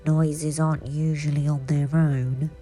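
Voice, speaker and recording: A woman voicing a matter-of-fact male toy duck with a flat, muttering storybook delivery over music. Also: deep voice, unhurried.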